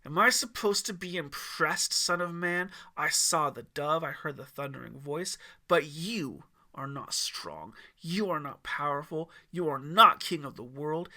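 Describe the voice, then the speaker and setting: Guy doing a mocking audiobook devil, raised pitch, really sharp and intense, theatrical taunts w drawn out words. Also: animated, measured pace, hardly any pauses, exaggerated voice. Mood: scornful. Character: male devil Lucifer, taunting, scornful, theatrical tempter.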